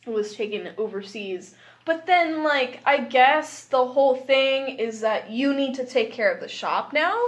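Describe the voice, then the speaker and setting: A woman's voice: sits high, unhurried. A woman chatting casually as a podcast guest, giggly and exclamatory, with an incredulous way of talking. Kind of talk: casual comedic chat with a friend.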